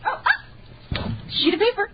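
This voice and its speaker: A young woman co-hosting a radio show, chatting casually with a soft, light voice and teasing, giggly banter. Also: very high voice, big pitch swings, slow pace, muffled recording, noisy background. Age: young adult. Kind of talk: casual chat. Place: small radio studio. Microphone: studio mic.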